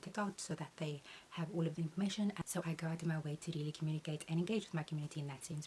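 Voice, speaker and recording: A young adult woman, a beauty content creator, answering Q&A questions about her channel in an earnest, measured way. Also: deep voice, a little mellow, a little hiss or hum, poor audio. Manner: earnest, measured, uses "um" between points.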